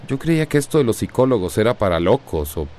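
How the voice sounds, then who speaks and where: A man's voice: fairly low pitch, sing-song, fast, a little mellow, slight background noise. An actor playing a reserved middle-aged father in a radio drama, speaking hesitantly with a Costa Rican accent. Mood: hesitant, uneasy. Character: reserved middle-aged father trying to mend his family. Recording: radio drama with faint music behind.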